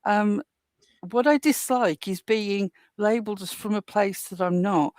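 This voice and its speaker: A woman with a Midlands English accent, defending herself in a panel argument, explaining her point at length in a measured way. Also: mid-range voice, a little mellow, no room sound, studio quality. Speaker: panel guest. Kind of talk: heated panel discussion.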